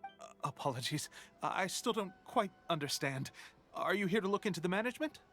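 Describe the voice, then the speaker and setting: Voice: medium pitch, animated, fairly quick, fairly bright tone, soft background music, lightly acted. An actor playing a stammering, nervous editor. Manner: stammering, anxious. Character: nervous young male editor, flustered.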